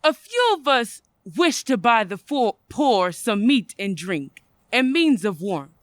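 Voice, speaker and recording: A woman's voice: on the high side, very animated, slow, dry recording. A woman playing a polite, earnest charity collector in an old-time radio play, courteous and formal.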